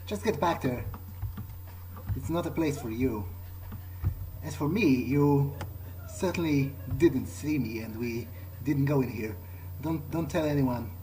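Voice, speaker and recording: A man giving in-character video game commentary, thinking aloud in a halting, musing way. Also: medium pitch, dark tone, slight background noise, low-quality recording. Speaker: gamer doing an in-character playthrough. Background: game music.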